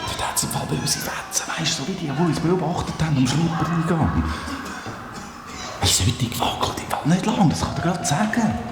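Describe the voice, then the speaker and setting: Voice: mid-range voice, sing-song, brisk, over a noisy crowd, natural voice. An actor playing a grumbling, fearful adventurer in a Swiss German radio play, complaining in an agitated way. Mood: grumbling, worried. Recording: echoey, loud music underneath.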